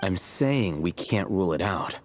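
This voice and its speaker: A man playing a composed, learned scholar in a video game, speaking in a measured, matter-of-fact, lecturing way. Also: deep voice, expressive, a little hiss or hum. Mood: composed, aloof. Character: composed, learned male scholar, aloof and unhurried. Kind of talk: video game character dialogue.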